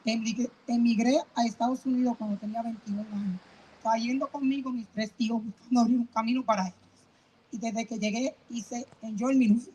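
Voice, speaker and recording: A middle-aged woman from Puerto Rico with a Puerto Rican Spanish accent, reading her personal statement at a press rally in an earnest, halting way. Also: fairly high pitch, steady tone, mellow, a little hiss or hum, low-quality recording. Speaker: community member speaker. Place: outdoor rally.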